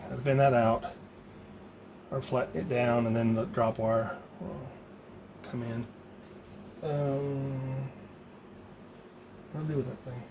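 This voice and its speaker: A man talking casually and haltingly, thinking aloud as he works through a hands-on project. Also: mid-range voice, level tone. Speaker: hobbyist maker demonstrating a DIY project. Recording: loud background noise.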